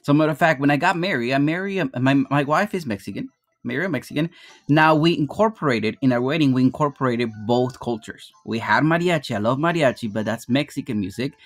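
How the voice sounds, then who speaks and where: A man's voice: medium pitch, no room sound. A Guatemala-born man who teaches math, giving a casual slide presentation to his students and explaining things in a friendly, plain way. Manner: friendly, addresses students as "you guys", explains slowly. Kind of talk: informal classroom presentation over slides. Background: marimba music playing softly.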